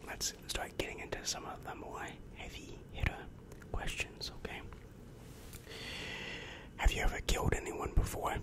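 A young man in a whispered, breathy ASMR roleplay as a demon counselor, asking questions softly very close to the microphone. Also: low-quality recording. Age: young adult. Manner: slow, measured, soft questioning. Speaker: ASMR performer. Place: small dim room indoors. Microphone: large microphone very close to the mouth.

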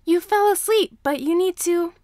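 A woman voicing a small, excitable, earnest daycare child in a story. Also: very high-pitched, moderate pace, dry recording, studio quality, pitched above the performer's own voice. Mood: urgent.

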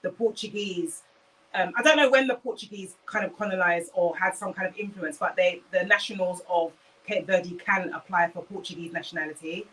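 A woman's voice: on the low side, brisk, a bit bright, recorded from a distance, poor audio. A woman in her thirties, co-hosting a reality show reaction chat, insistent as she explains her case to the others and to viewers. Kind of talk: casual chat. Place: small indoor studio with a tropical beach backdrop.

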